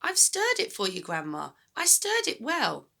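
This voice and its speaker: A woman's voice: a little high, huge pitch swings, unhurried, gravelly, pushed and bright, voice pushed up, heavily acted. A woman voicing a polite, put-upon eight-year-old boy in a children's storybook, dutiful and meekly protesting.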